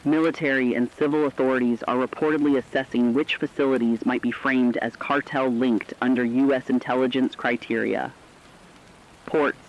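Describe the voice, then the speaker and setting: A man's voice: mid-range voice, close to an everyday voice. A sober correspondent in a radio drama, performed by a man, reporting as war looms. His delivery is serious and emphatic, in clipped dramatic phrases. The sound is slightly dull, with faint rain-like noise behind it. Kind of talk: scripted emergency radio news report.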